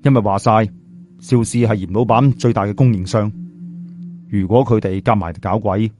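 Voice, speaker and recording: A man voicing a wary male companion in an audio story, speaking cautiously and in a measured way. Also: lowish voice, steady tone, a little mellow, soft background music, pitched below the performer's own voice, lightly acted.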